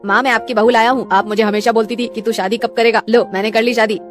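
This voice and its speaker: A woman's voice: on the high side, fast talker, soft background music, close to an everyday voice. A woman voicing an eager young man in a cartoon story. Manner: excited, eager. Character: eager young adult son, cheerful. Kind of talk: cartoon voice acting.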